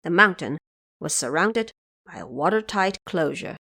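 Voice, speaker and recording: Measured woman narrating an audio drama. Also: on the low side, animated, creaky, sharp tone, slightly rough.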